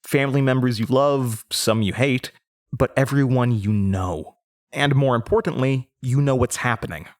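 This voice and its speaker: A man playing a nervous young man from a small Midwestern town, recounting his past in a halting, conversational audio drama monologue. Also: medium pitch, dry recording, studio quality, natural voice. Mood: reflective. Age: young adult. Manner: hesitant, stammering, rambling. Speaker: audio drama lead character.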